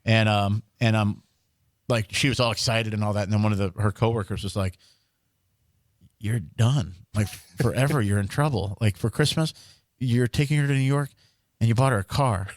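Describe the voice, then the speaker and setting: A man's voice: lowish voice, normal speed, no echo, clean studio sound. A young man co-hosting a podcast, telling long rambling stories with lots of restarts and jokes. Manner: rambling storyteller, joking, many restarts. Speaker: podcast co-host. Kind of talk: casual chat.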